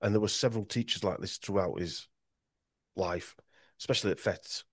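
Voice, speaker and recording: Yorkshire male guest, low register, rambling podcast talk, dry booth sound. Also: normal speed, slightly rough, no echo. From Yorkshire, England. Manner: rambling with frequent restarts and stumbles. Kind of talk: podcast conversation.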